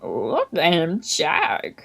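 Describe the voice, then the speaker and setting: A woman's voice: on the low side, huge pitch swings, extremely slow, gravelly, slightly rough, full character voice. A woman voicing a casual, easily impressed young man in a visual novel. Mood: impressed, curious. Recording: music behind the voice.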